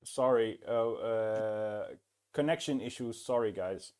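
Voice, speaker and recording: A man hosting a casual tech podcast over a remote call, chatty and quick to chime in with short agreements. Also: fairly low pitch, darkish tone, smooth. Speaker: podcast host.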